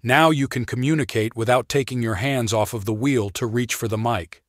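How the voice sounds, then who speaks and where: A man delivers scripted how-to narration at a medium pitch. His manner is clear and instructional, with plain step-by-step explanation. The sound is close and dry, free of room echo. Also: moderate pace. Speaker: product demonstrator and narrator.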